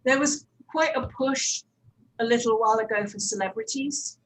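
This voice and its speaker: A woman presents on a panel with a fairly high voice. She talks informally and practically, like a working producer giving advice to colleagues. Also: moderate pace, poor audio. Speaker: panel speaker, audio drama producer.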